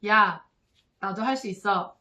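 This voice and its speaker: A woman's voice: mid-range voice, takes it slowly. A woman giving a casual, earnest and encouraging spoken book review to viewers as a vlogger. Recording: echoey room.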